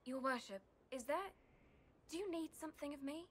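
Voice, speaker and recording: A woman's voice: high voice, fairly quick, slight background noise, lightly acted. A woman playing an earnest, deferential female healer in a fantasy video game, speaking hesitantly. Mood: deferential, puzzled. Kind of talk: dramatic video game voice acting.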